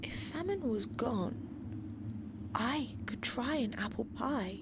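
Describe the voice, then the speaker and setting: A woman's voice: a little high, expressive, slow pace, background noise. A woman playing a frail, starving famine horse in a comic radio play, whispery, wistful and naively rambling. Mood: wan, wistful.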